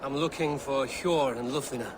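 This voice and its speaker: An actor playing a blunt, steady male warrior in a video game, terse and deliberate. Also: mid-range voice, close to an everyday voice. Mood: purposeful. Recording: noisy outdoor game ambience.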